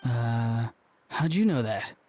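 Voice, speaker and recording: Laid-back young guy, mid-pitched. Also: expressive, takes it slowly, darkish tone, slight background noise. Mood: sheepish. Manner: casual, offhand. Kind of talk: game voice acting.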